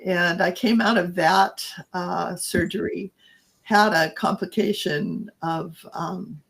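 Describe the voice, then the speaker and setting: Scratchy, husky-voiced woman co-host. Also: fairly low pitch, fairly even intonation, fairly bright tone. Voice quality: scratchy, raspy voice. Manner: halting and reflective, trails off mid-thought. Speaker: podcast co-host and coach. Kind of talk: personal storytelling in casual chat.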